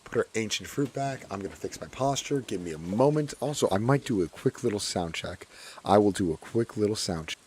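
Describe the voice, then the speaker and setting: A hoarse man with a fairly low voice talks his way through a farming game, his words cracking now and then. He chats loosely and says many lines twice. Faint noise sits under his voice. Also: expressive, brisk, darkish tone. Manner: chatty, repeats his own phrases. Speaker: gaming streamer. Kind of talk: casual gameplay commentary.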